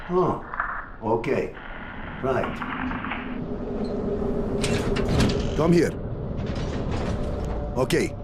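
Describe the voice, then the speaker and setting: A man playing a gruff, suspicious smuggler in a video game, curt and street-tough in manner. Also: mid-range voice, noisy background. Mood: checking, surprised. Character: gruff, suspicious male smuggler guarding a secret route. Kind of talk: video game cutscene acting.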